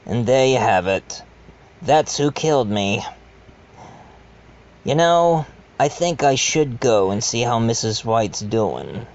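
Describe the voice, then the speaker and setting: A man's voice: medium pitch, animated, takes it slowly, long pauses. A man playing a murdered doctor's ghost who wryly narrates an amateur mystery audio drama, in a dull recording.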